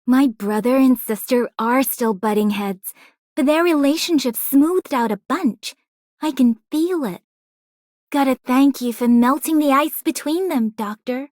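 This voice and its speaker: A woman's voice: sits high, a little mellow, no echo, studio quality. A woman voicing an easygoing, casual younger sister in a video game. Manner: casual, chilled-out. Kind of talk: video game character voice lines.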